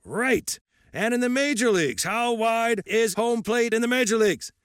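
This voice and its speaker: A man voicing a gruff, fiery old baseball coach who barks and bellows at a crowd. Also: very high voice, expressive, normal speed, a bit bright, dry recording, clean studio sound, voice pushed up. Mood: barking. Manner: barking, bellowing.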